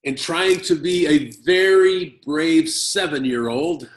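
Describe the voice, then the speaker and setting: An American man from Chicago telling a personal story at a conference, speaking in short phrases and pausing for his interpreter. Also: on the high side. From Chicago, United States. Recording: roomy echo.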